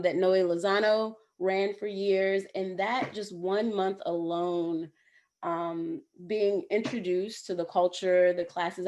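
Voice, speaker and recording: A woman's voice: lowish voice, darkish tone. A woman speaking animatedly as an alumni panelist on a video call, laughing between points. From southern United States. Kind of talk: candid panel answer.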